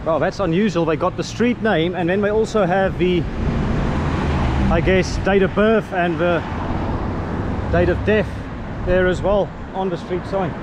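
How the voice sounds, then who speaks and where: A man's voice: sits high, a little slow, long pauses. A South African man in his thirties, an Afrikaans speaker, chatting and musing aloud as he walks a sunny street and films himself at arm's length. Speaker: travel vlogger. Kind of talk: walking tour commentary. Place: outdoors on a sunny suburban street. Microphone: handheld camera at arm's length. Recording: loud street traffic noise.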